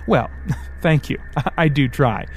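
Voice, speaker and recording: A man's voice: on the high side, very animated, normal speed, darkish tone, faint music behind, voice pushed up. A man voicing a sly, mocking devil. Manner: teasing, sarcastic, theatrical. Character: sly mocking male devil, smooth, menacing.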